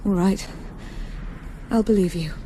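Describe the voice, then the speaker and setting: A woman's voice: medium pitch, measured pace, dark tone, a little hiss or hum, close to an everyday voice. An actress playing a guarded, uneasy young woman fighter with no memory, in a video game cutscene. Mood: wary.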